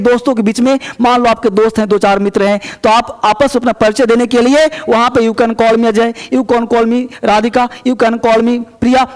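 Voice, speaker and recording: Male teacher with a very high voice, recorded with a long reverb. Explains step by step and slows down for example sentences. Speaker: English teacher. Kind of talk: spoken English lesson.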